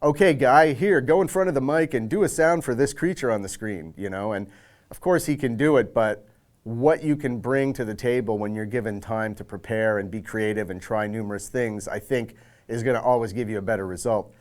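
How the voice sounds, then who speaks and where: A man's voice: medium pitch, brisk. A man speaking casually in a making-of interview as an audio director, acting out bits of conversation as he goes.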